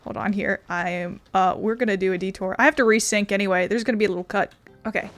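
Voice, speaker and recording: A woman's voice: medium pitch, fairly even intonation, fast talker. A woman giving casual let's play commentary in offhand, wry asides. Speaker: gamer playing and commenting on a game.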